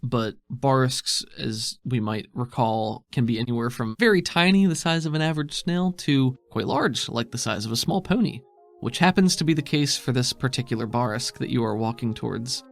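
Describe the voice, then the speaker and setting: A man's voice: mid-range voice, moderate pace, creaky. A man narrating a tabletop roleplaying game as game master in a casual, conversational and descriptive way.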